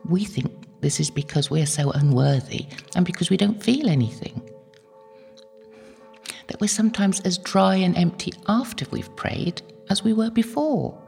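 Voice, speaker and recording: An actress playing an elderly, devout medieval holy woman in an audio drama, gentle and wistful, musing hesitantly. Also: on the low side, normal speed, vocal fry. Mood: prayerful, confiding. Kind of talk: audio drama acting, intimate prayerful monologue. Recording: long hall-like reverb, faint music behind.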